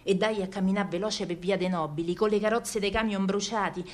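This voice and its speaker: Actress playing a wry, earthy young Roman wife. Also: female voice, mid-range voice, steady tone, brisk, close to an everyday voice. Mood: urgent, vivid. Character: stubborn young Roman wife telling her wartime memories. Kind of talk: theatrical monologue in dialect.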